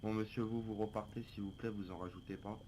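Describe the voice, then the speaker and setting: A man's voice: on the low side, steady tone, fast, mellow, close to an everyday voice. A man playing a firm but polite police officer in an improvised online game roleplay. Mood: calm, procedural. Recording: noisy game voice chat.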